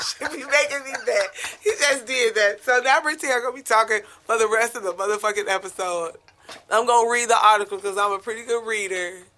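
Young new york woman on a podcast, deep voice but bright and forceful, blunt and cussing. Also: a little fast, slight background noise. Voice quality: deep-sounding voice. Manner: blunt, profane, animated storytelling. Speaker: podcast co-host. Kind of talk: casual podcast chat.

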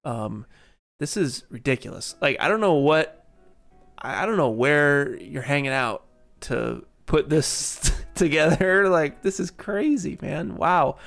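A man's voice: a little high, expressive, takes it slowly, vocal fry, a bit bright, soft background music. Upbeat young man hosting a livestream. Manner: upbeat, gushing praise, laid-back slang. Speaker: livestream host. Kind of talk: casual live interview.

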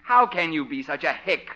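A haughty, fussy, self-amused boss in a 1950s radio comedy. His sharp voice moves in lively swoops. The old recording sounds dull. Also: fairly high pitch, exaggerated voice. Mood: scornful. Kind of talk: 1950s radio sitcom acting.

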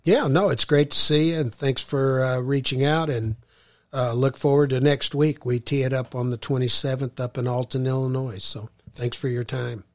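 A middle-aged man speaks as a baseball general manager being interviewed, working through his answers in long, measured sentences. His pitch barely moves, staying flat and even from phrase to phrase. The recording is dry, with no room sound around him. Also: dry recording. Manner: measured, thinking aloud in long winding sentences. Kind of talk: interview guest.

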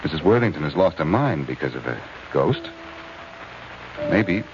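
An actor playing a cool-headed, wry detective in a 1940s radio mystery. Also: male voice, extremely low pitch, very animated, normal speed, background noise. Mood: grave, wondering. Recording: muffled old radio recording, music behind.

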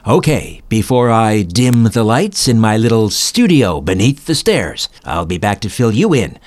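A man's voice: lowish voice, big pitch swings, a little slow. A man hosting an interview podcast, speaking as a radio presenter to guests and listeners. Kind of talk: interviewing guests and scripted show sign-off.

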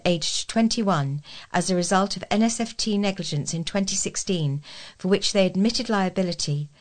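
A woman reading local news aloud from a script as a volunteer newsreader. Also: lowish voice.

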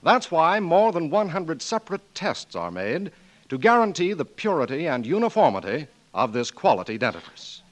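A man's voice: a little high, very animated, normal speed. A man announcing on 1940s radio, reading scripted commercial copy in a formal, polished sales style.

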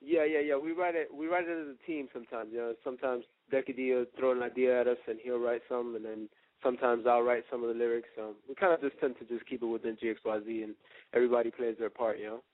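A man's voice: mid-range voice, fairly even intonation, quick pace, dry recording. A man in his early twenties from the Dominican Republic, a rapper chatting casually as an interview guest over a phone line. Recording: tinny, narrow phone line.

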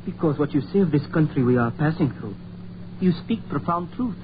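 A man's voice: a little high, expressive, a little fast, lightly acted. An actor playing an erudite, proud Frenchman in a 1940s radio horror play, speaking formal, eloquent English with a French accent. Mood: earnest, learned. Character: erudite, proud Frenchman driving foreign travellers, moodily eloquent. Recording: muffled, noisy 1940s radio recording.

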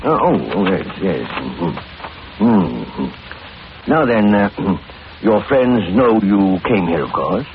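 An actor playing a fussy, courteous gentleman with hidden motives in an old-time radio drama, hemming and repeating himself with unctuous politeness. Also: fairly low pitch, sing-song, takes it slowly. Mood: courteous, probing. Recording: muffled, noisy vintage radio recording.

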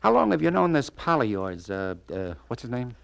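An actor playing a hard-boiled New York police detective in a 1950s radio crime drama, laconic, folksy and probing. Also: male voice, medium pitch, big pitch swings, moderate pace, gravelly, fairly bright tone, smooth, no echo. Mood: dry, probing.

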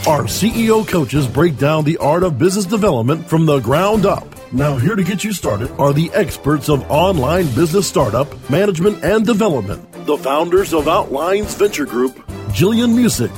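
A man's voice: fairly high pitch, sing-song, moderate pace, a little mellow. A man announcing the opening of a business show in a polished, scripted style. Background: music bed.

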